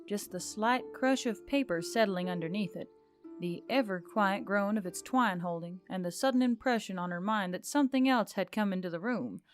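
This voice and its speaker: A woman reading scripted narration of a fantasy story. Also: mid-range voice. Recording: background music under the voice. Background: music.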